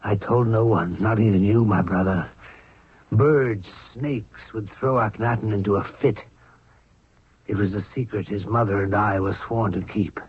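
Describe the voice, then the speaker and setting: A man's voice: lowish voice, moderate pace, slightly rough. A man acting in a 1970s radio drama as a cold, scheming royal courtier, contemptuous and insinuating in his delivery. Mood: contemptuous, secretive. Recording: dry phone-like vintage radio recording.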